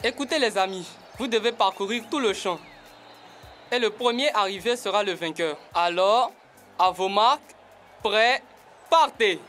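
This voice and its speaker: A woman voicing an upbeat, decisive child in a French-dubbed educational cartoon, expressive and announcing. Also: medium pitch, a little slow, music in the background, close to an everyday voice. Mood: commanding.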